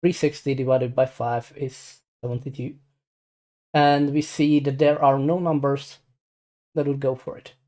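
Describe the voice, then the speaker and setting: A man's voice: mid-range voice, flat delivery, measured pace, darkish tone. A man with a Czech accent explaining casually and unscripted as an amateur, rambling hesitantly with repeated words and apologies. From Czech Republic. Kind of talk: casual unscripted screen tutorial.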